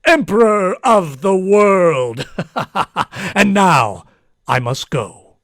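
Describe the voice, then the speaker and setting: A man acting a megalomaniac villain posing as a professor in an old-time radio play, speaking in a deliberate, grandiose, theatrical manner. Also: high voice, animated, a bit bright, no echo, studio quality, heavily acted. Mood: grandiose menace.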